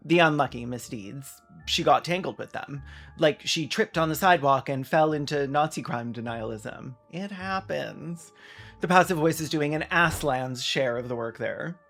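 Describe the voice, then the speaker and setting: Sardonic, higher-pitched man hosting a video essay. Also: moderate pace, fairly bright tone, soft background music. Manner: sardonic, mock-dramatic, conversational. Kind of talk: sarcastic video essay commentary.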